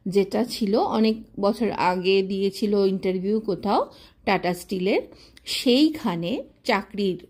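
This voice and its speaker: A woman, telling her own love story to viewers in a chatty, reminiscing way with frequent pauses. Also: medium pitch, a little mellow. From Kolkata, India. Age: 50s.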